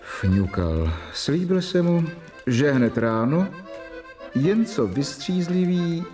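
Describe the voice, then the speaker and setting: A measured storytelling narrator. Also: male voice, a little high, very animated, mellow, soft background music. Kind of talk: first-person narration in a radio play.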